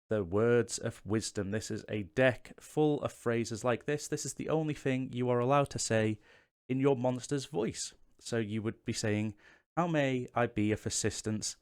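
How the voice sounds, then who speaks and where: A man hosting a tutorial, explaining game rules to the viewer in a casual, chatty, self-deprecating way. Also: medium pitch. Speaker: host of a board game tutorial channel. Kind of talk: casual how-to-play explanation to camera.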